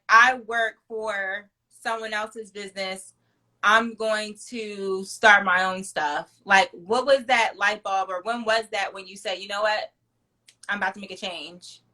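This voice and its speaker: A woman's voice: mid-range voice, takes it slowly, pushed and bright, low-quality recording. A woman hosting a casual, chatty interview, talking in an effusive, slangy way and hyping up her guest. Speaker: talk show host.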